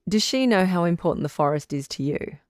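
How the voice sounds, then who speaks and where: A woman playing a calm, firm relationship advice doctor hosting a radio call-in drama, measured and probing. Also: fairly low pitch, no echo, clean studio sound, lightly acted.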